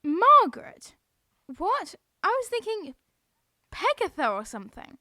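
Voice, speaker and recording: A woman's voice: extremely high pitch, huge pitch swings, a little slow, sharp tone, dry recording, studio quality, exaggerated voice. A woman playing a cheeky, blunt young farm girl in a wartime audio play. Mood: indignant, teasing.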